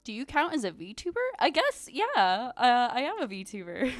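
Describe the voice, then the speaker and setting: A woman's voice: a little high, sing-song, normal speed, no room sound, faint music behind. A young woman streaming from home, chatting casually with viewers in a bubbly, chatty way. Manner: bubbly and chatty, many "like"s and giggles. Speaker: VTuber streamer.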